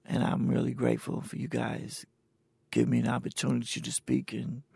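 A man in his sixties, talking plainly and haltingly about his own experience in a recorded conversation. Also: medium pitch, monotone, a little mellow, no room sound. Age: 60s. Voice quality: gravelly, husky. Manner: plain, halting, unhurried storytelling. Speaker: interviewee. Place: indoors at a cultural center.

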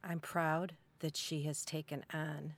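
A woman's voice: low voice, level tone, takes it slowly. A woman in her sixties speaking plainly and briefly as a guest in a personal conversation. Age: 60s. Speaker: interview guest, a mother.

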